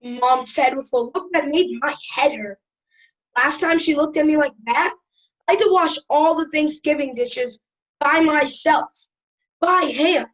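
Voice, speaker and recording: A woman's voice: sits high, fairly bright tone, dull audio. A woman playing a cheeky, chatty schoolboy who tells his story in a wry, conversational way. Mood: wry, rueful. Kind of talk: first-person storytelling in audio drama.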